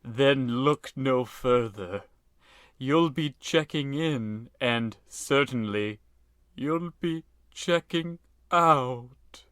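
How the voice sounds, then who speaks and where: A man acts out a creepy motel clerk from a parody horror radio play. He is obsequiously polite and ominous, drawing out his words extremely slowly in a dry, close recording. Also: medium pitch, a bit bright, clean tone, no echo. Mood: ominous politeness. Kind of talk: parody old-time radio horror acting.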